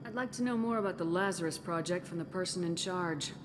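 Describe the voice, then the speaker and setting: A woman's voice: fairly low pitch, a little fast, background noise, natural voice. An actress playing a tough, guarded female military commander in a video game, speaking bluntly and firmly. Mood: firm, probing. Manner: blunt, firm, questioning. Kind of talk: video game cutscene acting. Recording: music behind the voice.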